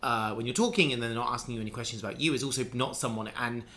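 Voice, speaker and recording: A man's voice: mid-range voice, racing, pushed and bright. A man in casual conversation, musing at length with many restarts and fillers. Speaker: conversation partner.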